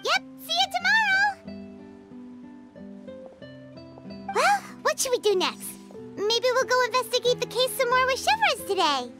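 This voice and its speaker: A little floating fairy girl for a video game. The voice is squeaky, childlike and extremely high, bouncing excitably across a wide range. Clear music plays underneath. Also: normal speed. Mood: cheerful. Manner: speaks of herself in third person, exclamatory. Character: tiny childlike female fairy companion, excitable and curious. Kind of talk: cartoonish video game voice acting. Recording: loud music behind the voice.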